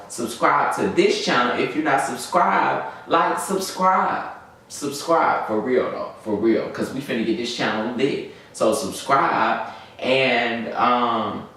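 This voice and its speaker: A man's voice: medium pitch, fairly bright tone. Echoey, distant young Southern US man. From Birmingham, Alabama, United States. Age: young adult. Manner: slangy, rambling, easily distracted. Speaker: vlogger. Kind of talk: casual story-time chat to camera. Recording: very echoey room, distant mic, faint noise.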